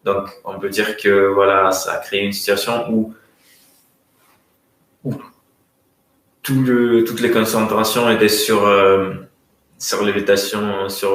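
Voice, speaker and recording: A man from Russia with a Russian accent speaks French as a guest economist at a conference, often pausing to search for words. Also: lowish voice, level tone, fairly bright tone, slight background noise. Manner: hesitant, searching for words, many fillers and restarts. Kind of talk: conference talk. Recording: very echoey room.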